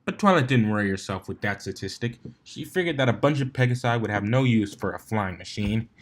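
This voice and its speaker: A man's voice: lowish voice, expressive, fairly quick. A man reading a fan fiction story aloud as its narrator, in scripted storybook style.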